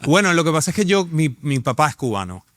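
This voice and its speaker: A man's voice: a little high, very animated, quick pace, fairly bright tone, no room sound. A man in his thirties with a Venezuelan accent, an actor talking as a guest in a casual interview, enthusiastic and full of fillers and restarts.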